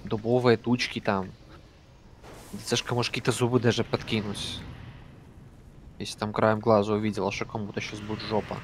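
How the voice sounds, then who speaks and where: A man leading a game raid over voice chat, giving brisk commands and swearing casually. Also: fairly low pitch, little pitch movement, slight background noise. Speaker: raid leader.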